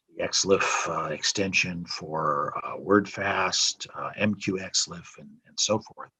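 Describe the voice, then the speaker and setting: An older man explains software from his home office in a relaxed, chatty way, full of ums and uhs. His voice is low and husky. His pitch moves up and down freely as he talks. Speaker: translator demonstrating software. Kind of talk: casual screen-recorded tutorial.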